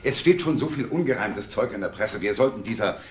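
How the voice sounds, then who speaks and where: An actor playing a nervous grown son in a crime drama, pleading in an urgent, halting way. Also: male voice, medium pitch, animated, natural voice. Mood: anxious, pleading. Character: nervous, pleading adult son of wealthy family. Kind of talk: German crime drama acting. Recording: roomy sound, faint background noise.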